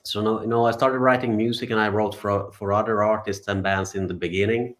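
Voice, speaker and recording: Low-voiced relaxed man from Finland. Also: fairly quick. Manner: relaxed, rambling, many fillers and restarts. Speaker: guest musician. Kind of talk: interview answers.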